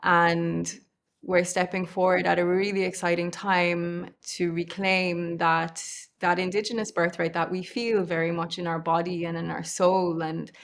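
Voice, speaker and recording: A woman from the UK hosts a podcast and speaks in a measured, deliberate way. Her voice is lower than most, with a gravelly fry and a fairly flat melody. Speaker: podcast host. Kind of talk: podcast conversation.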